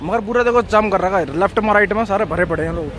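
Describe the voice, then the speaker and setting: Young man vlogging in a loud crowd. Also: high-pitched, fast. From India. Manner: chatty, addresses viewers as brother; shouts over a pushing crowd. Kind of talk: casual vlog chat. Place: crowded city street outdoors. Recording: loud crowd and street noise.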